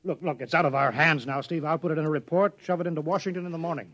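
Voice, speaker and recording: High, tense American base officer. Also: male voice, steady tone, very fast, a bit bright, muffled recording. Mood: placating. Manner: placating, tense. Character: harried military base officer, placating a friend. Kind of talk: 1950s radio drama acting. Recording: old 1950s radio broadcast.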